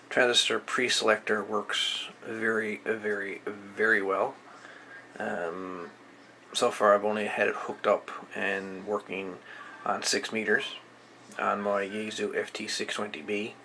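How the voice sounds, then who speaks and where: A man giving a casual, unscripted product demonstration as an equipment reviewer, speaking haltingly as he searches for words. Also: on the low side, gravelly, pushed and bright, slight background noise, low-quality recording. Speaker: amateur radio equipment reviewer.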